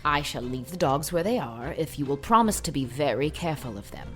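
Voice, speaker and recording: Woman performing elderly spinster, proud and decisive, low pitch, swooping intonation, audiobook drama. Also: animated, brisk, faint music behind. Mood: firm. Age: older. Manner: emphatic, decisive, plain-spoken. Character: proud, brisk, decided old spinster lady.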